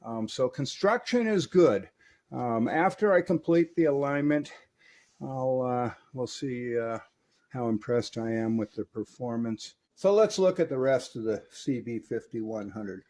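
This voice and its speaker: A man's voice: medium pitch, lively intonation, unhurried, a little mellow. Folksy man reviewing old radio gear. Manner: folksy, chatty, enthusiastic about gear. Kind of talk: casual hands-on product review.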